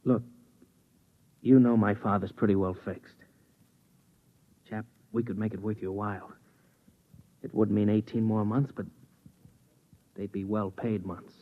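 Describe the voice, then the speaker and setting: Anxious, earnest young astronaut, 1950s sci-fi radio serial, tinny narrow-band archive sound. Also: male voice, fairly low pitch, fairly even intonation, a little slow, long pauses. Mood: pleading. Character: Dole, anxious pleading young engaged spaceman. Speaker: radio drama actor. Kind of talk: 1950s radio drama acting. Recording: thin phone-like 1950s radio recording.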